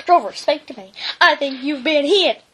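A woman's voice: high voice, normal speed, a bit bright, slight background noise. A woman voicing a self-important male ranch dog who barks mock-military orders in a children's storybook. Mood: pompous.

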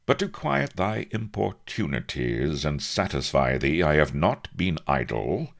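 A man's voice: very deep voice, big pitch swings, a little slow, creaky, exaggerated voice. Man as a pompous, dauntless young naval hero. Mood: stern, haughty. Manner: grandiloquent mock-archaic speech, stern and cool.

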